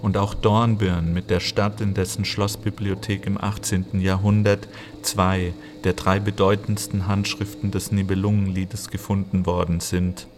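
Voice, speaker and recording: A man's voice: deep voice, level tone, moderate pace. A man reading scripted literary narration in a matter-of-fact way. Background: music.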